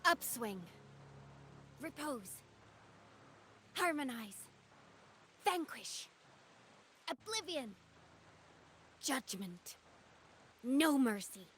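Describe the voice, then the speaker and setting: A woman voicing an earnest young female swordswoman in a game, soft-spoken and sincere. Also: high voice, expressive, very slow, long pauses, slight background noise, heavily acted. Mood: fierce. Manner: earnest and soft-spoken, proud battle cries. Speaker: game character. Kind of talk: game character voice lines.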